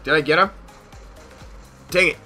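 A young American man giving casual gameplay commentary in an excitable manner, repeating short phrases. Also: fairly high pitch, moderate pace, soft background music. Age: young adult. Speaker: gaming YouTuber. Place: small bedroom at home.

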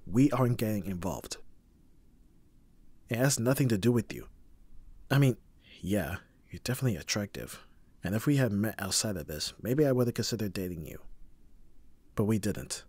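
A man playing an abrasive young bodyguard who is secretly soft, in an ASMR roleplay audio drama, with gruff, protective exasperation. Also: lowish voice, animated, normal speed, long pauses, no echo. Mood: firm, defensive.

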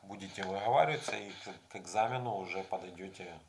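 A man in his forties with a low voice and a gravelly edge explains things casually to a camera set up close in a small room. He talks like he's chatting, stopping often for hesitation sounds while he finds the next example. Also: fast. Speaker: language learning vlogger. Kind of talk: casual advice talk to camera. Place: small room indoors with curtains.

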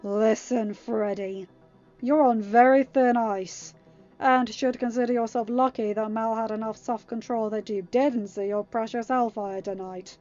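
A woman's voice: medium pitch, steady tone, a little slow, a little mellow, highs cut off, soft background music, lightly acted. A woman voicing a sly, menacing teenage sea witch in a story reading, taunting and snarling. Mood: menacing, angry.

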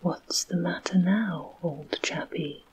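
A woman voicing a bold, friendly boy in a slow, breathy whisper in a storybook reading. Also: lowish voice, a little mellow, slight background noise, poor audio.

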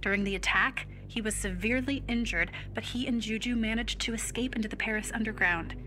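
An actress playing an earnest, worried young resistance tech giving a briefing in a video game. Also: female voice, fairly high pitch, a little fast, over music, close to an everyday voice. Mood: serious briefing.

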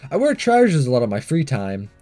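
A male gamer gives commentary on a visual novel. His voice is mellow and moves freely in pitch. Faint game music sits behind him. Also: wildly swooping pitch, a little fast. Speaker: gaming video creator. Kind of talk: let's play commentary, reading a visual novel aloud.

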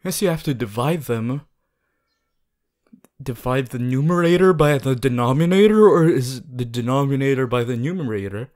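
A man playing an earnest, bossy, worried young student hero in an audio roleplay, talking to the listener in an insistent, halting, emphatic way. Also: medium pitch, darkish tone, natural voice. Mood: earnest, playful. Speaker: voice actor.

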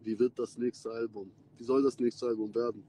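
A man's voice: mid-range voice, level tone, fairly quick. A man, chatting casually on a phone livestream from the back of a taxi in slangy, laid-back talk. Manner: laid-back slangy talk, drifting between loud and mumbled. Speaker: rapper hosting a livestream. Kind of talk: casual livestream chat with fans. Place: back seat of a taxi at night. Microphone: phone held close, front camera. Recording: phone audio with car noise.

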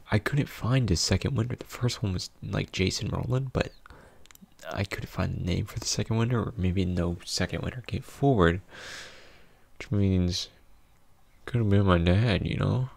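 A young man hosts a casual, comedic storytime. His voice is low with plenty of creak, and he uses mock-dramatic pauses. The sound is dry and close on a studio mic. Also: darkish tone, no room sound. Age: young adult. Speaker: video host, storyteller. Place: small indoor home studio. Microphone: close studio condenser mic with pop filter.

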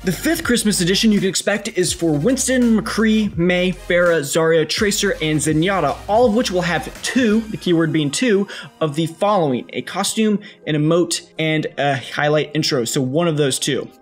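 A man's voice: fairly high pitch, normal speed. A young man narrating scripted gaming news as a video host, casual and upbeat, stressing key words. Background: faint music.

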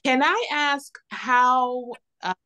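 A woman's voice: high-pitched, drawn-out words. A woman hosting an interview over a video call, listening closely and asking questions. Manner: attentive listener, steady back-channel murmurs. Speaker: host. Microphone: video call webcam.

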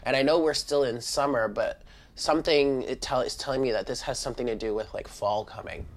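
A tarot reader speaks in a medium-pitched voice that sounds stuffed up with congestion. The delivery is informal and chatty, addressed straight to the viewers as a spiritual card reading is given at a table indoors. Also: a little fast.

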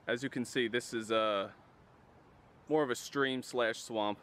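A man's voice: mid-range voice, a little slow. A young man in his twenties from Long Island, New York, chatting casually as he narrates a freshwater fishing vlog outdoors, recorded on an action camera. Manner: relaxed, chatty commentary while fishing. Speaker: fishing vlogger. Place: outdoors by a pond and stream. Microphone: action camera mic. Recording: outdoor camera audio with clear background noise.

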